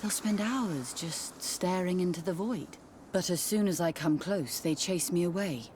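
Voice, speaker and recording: An actress playing an uneasy, pious nun with a formal, wary manner in a video game. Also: female voice, on the low side, measured pace, darkish tone, slight background noise, close to an everyday voice. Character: uneasy, pious investigating nun, adult woman. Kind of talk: video game cutscene acting.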